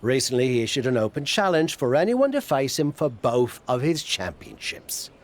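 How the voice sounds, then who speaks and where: Man playing a chatty, animated, eager sidekick. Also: mid-range voice, normal speed, lightly acted. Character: chatty, eager male sidekick, proud of himself. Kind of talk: video game cutscene dialogue.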